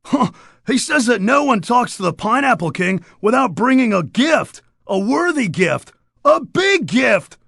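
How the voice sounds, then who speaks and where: An actor playing a laid-back, boastful surfer dude creature in a cartoon game, talking in upbeat beach slang. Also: male voice, extremely high pitch, sing-song, unhurried, vocal fry, fairly bright tone, dry recording, studio quality, heavily acted. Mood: casual, conspiratorial. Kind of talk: animated game character dialogue.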